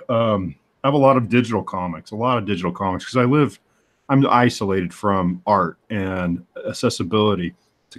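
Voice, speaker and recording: A man in his forties with a very low voice talks loosely about his craft as a comic artist. He works through his thoughts out loud, reaching for the right words as he goes. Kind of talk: casual chat on a live stream.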